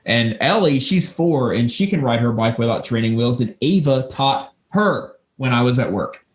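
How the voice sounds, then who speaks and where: A man's voice: mid-range voice, expressive, normal speed. A man chatting informally as a podcast co-host, emphatic and sweary while telling everyday stories. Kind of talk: casual chat with personal anecdotes. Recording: roomy, echoey room sound.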